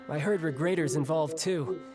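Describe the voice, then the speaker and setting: A cocky, lighter-voiced young warrior. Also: male voice, on the high side, slow, music in the background. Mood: grumbling, eager. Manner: casual, teasing, lightly sighing. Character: cocky, breezy young male warrior who loves a fight. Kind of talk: video game character acting.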